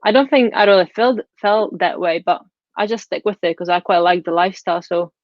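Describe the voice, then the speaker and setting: Young woman, Czech accent, interview guest, relaxed, frank, lowish voice, dull lo-fi call audio. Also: brisk, no echo. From Czech Republic. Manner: relaxed, frank, slightly non-native grammar. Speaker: guest blogger. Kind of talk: casual interview answers. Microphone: earbuds on a video call.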